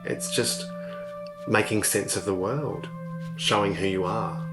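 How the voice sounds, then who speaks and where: A low-pitched man speaks reflectively, as in a documentary interview. His phrasing is thoughtful and a little hesitant. Music plays clearly behind his voice. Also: steady tone, takes it slowly, rough recording. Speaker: textile maker.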